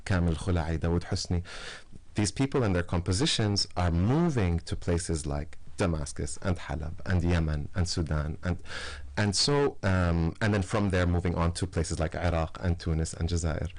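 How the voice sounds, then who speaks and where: A man's voice: deep voice, moderate pace, darkish tone. A man as a radio interview guest, explaining at length in long lists with frequent fillers and restarts. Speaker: guest artist.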